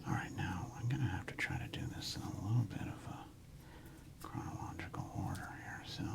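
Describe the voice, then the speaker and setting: A man doing whispered ASMR, murmuring casually to himself in an unhurried way. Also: low voice, wildly swooping pitch, vocal fry. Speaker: ASMR host.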